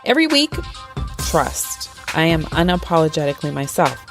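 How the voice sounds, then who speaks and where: A woman's voice: sits low, normal speed, vocal fry, faint music behind. A woman hosting a podcast, reading her intro in a sassy, playful manner. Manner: sassy, playful, lively delivery. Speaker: podcast host. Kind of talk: upbeat scripted podcast intro.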